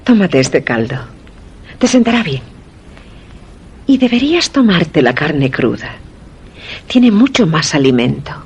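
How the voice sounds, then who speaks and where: Cool, secretive wife. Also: female voice, low voice, sing-song, unhurried, long pauses, a little hiss or hum, exaggerated voice. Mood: coaxing, insistent. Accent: Castilian Spanish. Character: cool, secretive, enigmatic wife of an ailing man. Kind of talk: radio drama acting.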